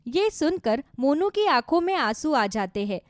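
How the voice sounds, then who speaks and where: High, animated woman storyteller. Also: normal speed, no echo. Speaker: narrator. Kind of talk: scripted children's story narration.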